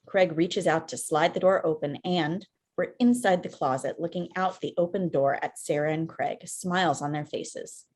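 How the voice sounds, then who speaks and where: Low-pitched woman reading stage directions. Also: moderate pace, a little mellow. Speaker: filmmaker, stage-direction reader. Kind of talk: scripted narration of screenplay directions. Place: remote video call.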